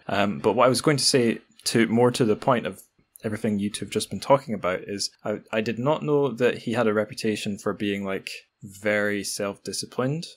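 Male podcast host, lower register, conversational and discursive, corrects himself mid-sentence. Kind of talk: casual conversational interview.

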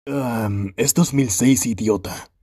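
A man with a Latin American Spanish accent voicing a gruff, sardonic, foul-mouthed male echidna in a cartoon fan dub. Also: medium pitch, slow, mellow, no room sound, clean studio sound. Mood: deadpan, sardonic.

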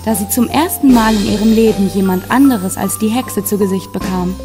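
A woman's voice: mid-range voice, fairly quick. Woman narrating a fairy tale over music. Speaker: storyteller.

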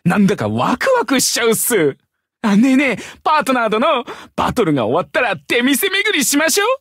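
Game beast hero: boyish and cheeky, husky edge, very lively, close dry sound. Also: male voice, extremely high pitch, brisk, no room sound, exaggerated voice. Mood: excited. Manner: bouncy junior-style casual speech. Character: eager, boyish tiger-man beast hero, cheerfully cocky. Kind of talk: Japanese mobile game character acting.

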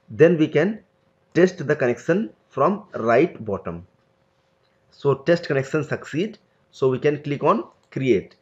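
A man explaining a cloud software tutorial step by step over a screen recording, in loose, conversational phrasing. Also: fairly high pitch, dull audio. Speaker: tutorial instructor.

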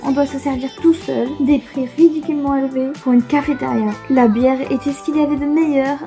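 A woman's voice: sits high, fairly even intonation, a little fast, warm tone, soft background music. A woman reading reviews aloud with playful, teasing asides.

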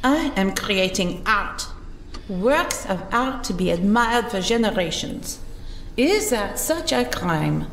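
A woman's voice: mid-range voice, very animated, slow, fairly bright tone, slight background noise, full character voice. A woman playing a haughty art forger. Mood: defensive. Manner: proud, formal, indignant. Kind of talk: audio drama acting. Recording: roomy reverb with music behind.

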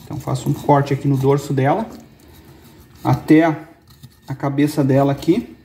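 A man in his forties, from Brazil, hosting a fishing channel and calmly explaining how to fillet a fish, step by step, in an indoor kitchen. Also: medium pitch, moderate pace. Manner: calm step-by-step explaining, pointing things out. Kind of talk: cooking demonstration. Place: indoor kitchen with brick and wood walls.

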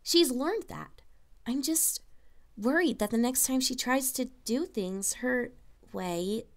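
A woman's voice: fairly high pitch, animated, takes it slowly. A woman playing an earnest, worried young princess in a fan fiction audio drama. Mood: frustrated.

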